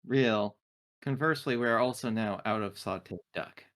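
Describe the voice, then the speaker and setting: Male tabletop roleplayer, somewhat low register, measured delivery narrating his character's actions. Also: fairly bright tone. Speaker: player in a tabletop roleplaying game.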